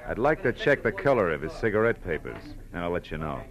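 An actor playing a wry, hard-boiled private eye with a dry, clipped delivery in 1940s radio noir style. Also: male voice, low-pitched, very animated, fast, lo-fi sound, a crowd in the background. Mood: dry, probing.

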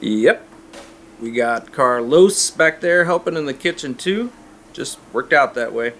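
An actor playing a middle-aged small-town cafe cook in an audio drama, helpful, folksy and plain-spoken. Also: male voice, mid-range voice, lively intonation, a little slow, a bit bright.

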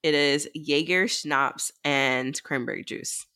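A woman's voice: low-pitched, steady tone, slow pace, sharp tone, clean tone. A young adult woman co-hosting a podcast, bantering casually with her friends. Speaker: podcast co-host.

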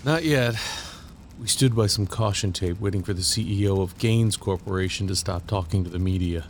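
Deep-voiced, composed federal agent played by a man. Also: moderate pace, slight background noise, lightly acted. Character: composed male federal agent investigating a warehouse blast. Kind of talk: first-person audio drama narration and dialogue.

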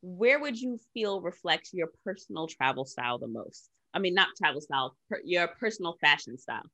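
A woman with a slightly low voice hosts a conversational interview. She puts her questions casually, as in an everyday chat. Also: animated, normal speed, bright, forceful tone. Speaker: podcast host, interviewer.

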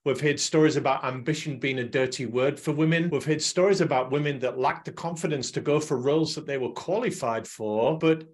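A man's voice: a little high, brisk, hardly any pauses. A man hosting a panel discussion, framing topics and putting direct questions to the guests. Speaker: host, moderator. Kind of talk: moderating a panel.

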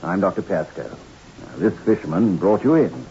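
Low-voiced, animated, reassuring doctor. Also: male voice. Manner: soothing but brisk, commanding. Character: calm, firm, reassuring male island doctor. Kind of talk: 1960s radio drama acting. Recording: muffled, noisy old radio recording.

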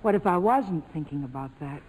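An actress playing a defiant, wary young woman with sharp, clipped retorts in a 1940s radio drama. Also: low-pitched, lively intonation, fairly quick, husky. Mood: softened, guarded. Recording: vintage radio broadcast, reverberant.

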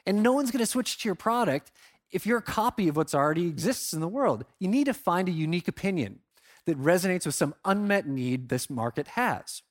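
Higher-pitched man giving a conference talk. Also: big pitch swings, brisk. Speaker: conference speaker, product marketer. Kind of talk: conference talk, conversational presenting.

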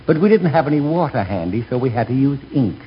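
An actor playing a polite, apologetic bank teller with a soothing manner in a 1940s radio comedy. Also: male voice, medium pitch, big pitch swings, quick pace, natural voice. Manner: courteous, soothing. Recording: dull, noisy 1940s radio recording.

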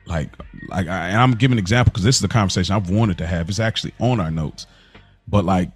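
An American man co-hosting a podcast in casual conversation, telling things in an animated, slangy way. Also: deep voice, quick pace, slightly rough, faint music behind. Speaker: podcast co-host.

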